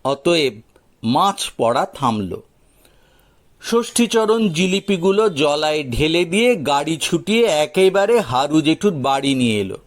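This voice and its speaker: A man's voice: on the high side, very animated, a little slow. A man narrating a folk tale as a storyteller, in a dramatized audio story style.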